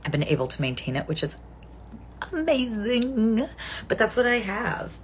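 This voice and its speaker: A woman's voice: a little high, very animated, normal speed, a little hiss or hum. A woman in her forties chatting casually on a live stream, rambling and answering viewer comments as they come in. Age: 40s.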